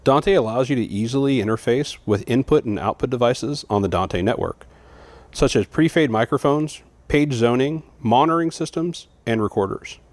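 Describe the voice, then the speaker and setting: A man's voice: medium pitch, expressive, normal speed, dry recording, faint music behind. Man narrating a product walkthrough, dry studio sound. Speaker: product trainer.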